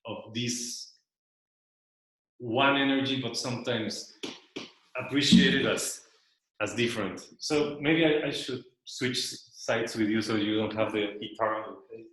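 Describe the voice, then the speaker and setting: Man, Spanish first language, slow and searching casual presenter, recorded in a very echoey room. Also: mid-range voice, a bit bright. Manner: slow, searching, repeats words while thinking. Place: loft room with a small audience.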